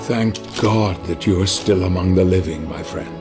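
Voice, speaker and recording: An actor playing a grave, scheming knightly ally who narrates a dark fantasy game in solemn, measured, formal phrases. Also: male voice, deep voice, over loud music, natural voice. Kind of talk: dramatic scripted game narration.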